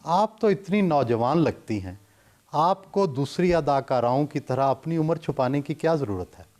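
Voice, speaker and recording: A man asking formal interview questions and narrating in a measured way. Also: a little high, animated, darkish tone. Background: music.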